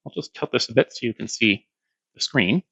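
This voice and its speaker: A man's voice: mid-range voice, animated, brisk, a bit bright, slightly rough, dry recording, dull audio. A man giving a hands-on product demonstration, explaining plainly step by step.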